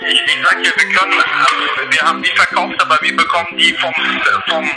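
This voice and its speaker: Male gym worker on a phone call, high creaky voice, casual, loud music underneath. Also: fairly even intonation, brisk. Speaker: gym employee answering a complaint call.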